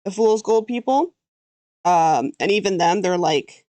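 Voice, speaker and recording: A woman's voice: lowish voice, lively intonation, measured pace, dark tone, no echo. A Canadian woman chatting casually while she draws on a livestream, thinking aloud and trailing off mid-sentence. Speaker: VTuber artist streaming her drawing.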